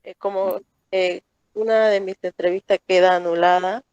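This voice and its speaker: A woman student, describing her interview work in a halting, unsure way. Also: on the low side, fairly even intonation, a little mellow, clean tone, dry recording. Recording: dull, muffled audio.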